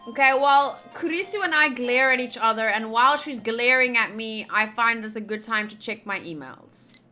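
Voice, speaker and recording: A woman's voice: on the high side, moderate pace, sharp tone, soft background music. A young woman streaming a game playthrough, casually reading dialogue aloud and drifting into playful, rambling asides.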